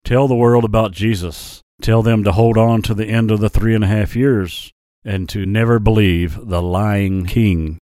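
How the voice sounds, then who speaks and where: A man voicing a big, kindly male lion in a children's story, speaking in slow, earnest warnings. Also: fairly low pitch, fairly even intonation, warm tone, no echo, studio quality, lightly acted. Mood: gentle solemn. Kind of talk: storybook character voice.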